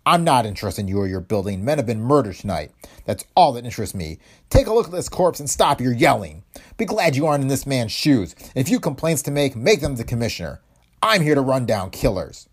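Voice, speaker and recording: A man voicing a hard, grim male police inspector with a rasping, curt delivery. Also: medium pitch, sing-song, brisk, voice pushed up.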